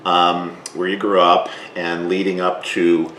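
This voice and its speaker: A man with a very low voice hosting an interview, relaxed and measured, filling pauses with ums. His voice bounces around an echoey room, with faint noise underneath. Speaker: podcast host.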